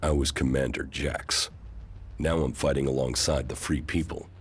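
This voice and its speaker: An actor playing a stoic, terse ex-commander in a video game, with a gravelly, deliberate delivery. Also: male voice, extremely low pitch, steady tone. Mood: stern, determined. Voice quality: gravelly, creaky. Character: stoic deep-voiced male ex-commander, deserter turned war fighter. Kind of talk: dramatic game dialogue.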